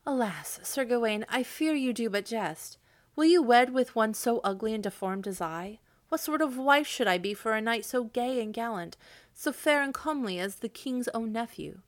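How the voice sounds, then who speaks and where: A woman's voice: fairly high pitch, expressive, normal speed, voice pushed up, lightly acted. A woman reading aloud, voicing a humble, sorrowful lady who speaks plaintively.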